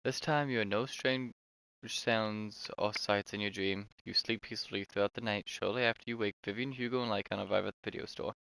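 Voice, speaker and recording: A man's voice: lowish voice, steady tone, fairly quick, vocal fry, fairly bright tone, no echo, low-quality recording. A man streaming a video game, commenting casually and reading the story text aloud.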